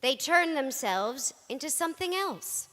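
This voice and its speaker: Expressive high-voiced woman reciting slowly. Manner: theatrical, measured short phrases. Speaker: cast member in a dramatic reading. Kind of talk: dramatic spoken-word storytelling performance. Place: large synagogue hall. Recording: reverberant large hall.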